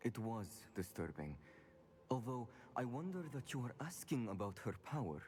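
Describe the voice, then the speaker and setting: An actor playing a guarded old wizard professor in a video game, speaking in a measured, formal and deliberate way. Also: male voice, mid-range voice, very animated, a little mellow, lightly acted. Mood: stern, hesitant. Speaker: professor character.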